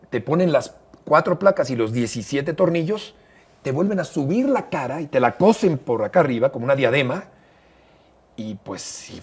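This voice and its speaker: A middle-aged Mexican man speaks as an interview guest, recounting events in careful detail with occasional hesitations. His voice is somewhat husky and sits a little high for a man. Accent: Mexican Spanish. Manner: measured, detailed storyteller with frequent hesitations. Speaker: interview guest, sports broadcaster. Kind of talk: conversational storytelling.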